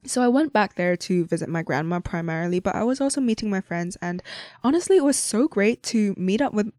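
A young woman from Hong Kong chats her way through an unplanned solo podcast episode. She rambles loosely, leaning on fillers and breaking into small laughs, at an ordinary middle pitch. The close mic sounds dry, with almost no room around her. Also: animated, brisk, darkish tone, no room sound. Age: young adult. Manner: rambling, chatty, frequent "like" and "you know", laughs often. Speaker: podcast host. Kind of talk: unscripted casual chat.

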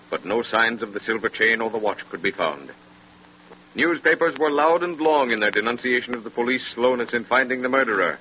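A man's voice: mid-range voice, sharp tone. A man narrating a scripted 1930s radio drama in a grave, theatrical manner. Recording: noisy, dull 1930s radio recording.

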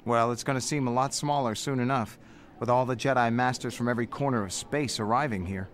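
An actor playing a proud, stubborn young wounded Jedi knight in an audio drama, with a wry, stoic manner. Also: male voice, medium pitch, fairly quick, natural voice. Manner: wry, stoic, brushing off concern.

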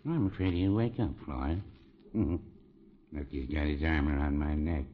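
A gentle, extremely deep-voiced townsman. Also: measured pace. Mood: soft, careful. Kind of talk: 1950s radio comedy acting. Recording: muffled, noisy vintage radio recording.

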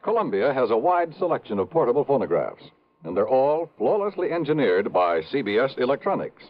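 A man announcing in 1950s radio commercial style, with polished, emphatic salesmanship. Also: mid-range voice. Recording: tinny, narrow-band vintage radio recording.

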